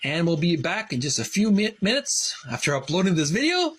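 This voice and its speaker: A man's voice: high voice, very animated, brisk, fairly bright tone, soft background music. Man hosting, reading aloud with earnest commentary. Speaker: video channel host.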